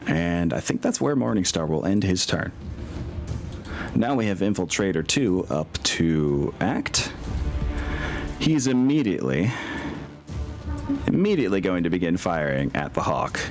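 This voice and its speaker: Low-voiced man running a tabletop game. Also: animated, a little slow, long pauses. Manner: descriptive, conversational narration. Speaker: game master. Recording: music playing faintly behind the voice. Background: faint music.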